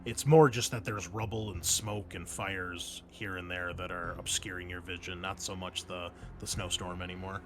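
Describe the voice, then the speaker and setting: A man running a tabletop roleplaying game as game master, explaining rules and scenes with thinking pauses. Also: low voice, fairly even intonation, fairly bright tone. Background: music.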